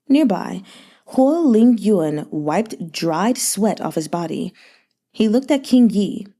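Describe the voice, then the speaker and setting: Expressive woman reading a novel aloud. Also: mid-range voice. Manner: expressive, with asides to the listener. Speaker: audiobook reader.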